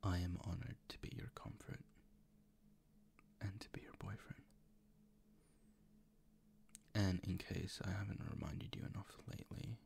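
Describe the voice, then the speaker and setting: A man playing a doting, gently dominant boyfriend in an intimate ASMR roleplay, speaking in a soft, coaxing, reassuring way with a breathy, gravelly whisper. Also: low voice, little pitch movement, normal speed. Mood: adoring. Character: doting, gently dominant, teasing boyfriend comforting his partner.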